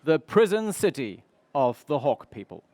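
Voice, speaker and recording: A man's voice: on the high side, sing-song, measured pace, darkish tone, dry recording. A man playing the dramatic narrator of a pulp space serial, in 1930s radio style. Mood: ominous, dramatic.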